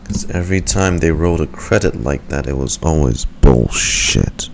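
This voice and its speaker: A man's voice: extremely low pitch, moderate pace, gravelly, a little hiss or hum. A man streaming his casual live reactions to a video game.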